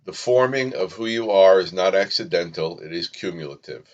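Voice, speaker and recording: A man giving scripted spiritual teaching as a podcast host, solemn and deliberate, in short emphatic phrases. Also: low-pitched, animated, dull audio.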